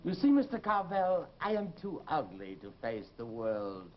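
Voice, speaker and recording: Cool, high-pitched male stalker. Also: big pitch swings. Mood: cool, knowing. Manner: measured, sardonic, formal. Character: cool, knowing, disfigured stalker and blackmailer. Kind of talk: 1940s radio drama acting. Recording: muffled, noisy old radio recording.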